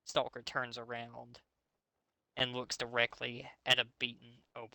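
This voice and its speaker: Bright-voiced man reading aloud, sarcastic and sweary. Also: medium pitch, completely flat delivery, normal speed, dry recording, low-quality recording. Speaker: host reading fan fiction aloud. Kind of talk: mocking dramatic reading with casual commentary.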